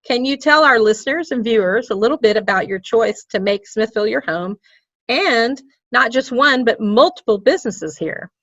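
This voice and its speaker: Upbeat woman host, slightly high, dry recording, interviewing over a video call. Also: lively intonation, moderate pace, no echo, dull audio. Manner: upbeat, warm praise of guest. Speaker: podcast host. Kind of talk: scripted intro then interview chat.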